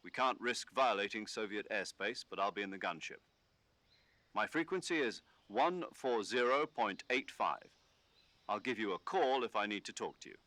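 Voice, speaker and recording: A military commander from a video game, calm and in full authority. His voice is crisp-edged and bright, recorded very dry. He briefs in a measured, instructive way. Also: lowish voice, no room sound. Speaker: mission commander giving radio briefing. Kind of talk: scripted video game voice acting.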